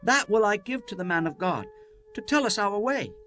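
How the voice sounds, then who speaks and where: Man acting a high, bright, eager young servant. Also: big pitch swings, normal speed, soft background music, heavily acted. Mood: earnest, eager. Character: Saul's earnest, eager young male servant. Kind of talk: dramatized scripture reading.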